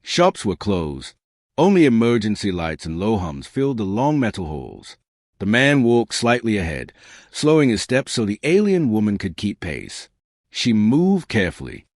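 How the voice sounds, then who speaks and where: A man narrating a science fiction story in a measured, dramatic way with short pausing phrases. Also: fairly low pitch, wildly swooping pitch, dry recording, studio quality. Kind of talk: scripted dramatic sci-fi audiobook narration.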